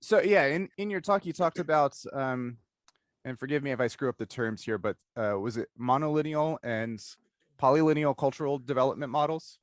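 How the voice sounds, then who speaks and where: A man hosting a casual interview, speaking in a halting, self-correcting way. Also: mid-range voice, no echo, clean studio sound. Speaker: podcast host and interviewer. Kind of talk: casual interview intro and chat.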